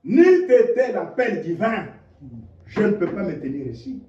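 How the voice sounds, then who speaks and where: A man preaching a sermon, impassioned, dropping to low murmurs and rising to shouted rhetorical questions. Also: sing-song, measured pace. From DR Congo. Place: church hall. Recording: echoey, distant, dull lo-fi church recording.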